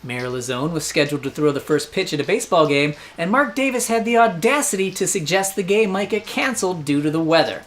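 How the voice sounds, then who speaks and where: A man's voice: on the high side, very animated, fairly quick, few pauses. An American man hosting a satirical news show, delivering scripted comedic commentary in a sarcastic, mock-incredulous way.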